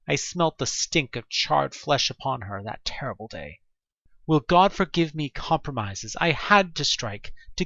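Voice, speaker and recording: A man's voice: mid-range voice, a bit bright, no echo, dull audio. A man voicing a devout, guilt-ridden parish priest reading out his confessional diary in a horror audio drama. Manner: solemn, confessional.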